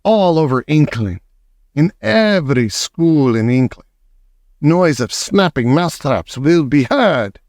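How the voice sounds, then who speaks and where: A man doing a shrill, gloating Grand High Witch. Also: lively intonation, takes it slowly, no echo, studio quality. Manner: broken grammar, shouting triumphantly. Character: gloating old female witch leader, screeching.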